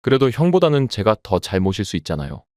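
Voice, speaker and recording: A grown son who is polite and coaxing on the surface but calculating underneath. His voice sounds lower than the narrator's and is recorded dry and close, as a man voices him in an audio drama. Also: big pitch swings, fast, a little mellow, no echo.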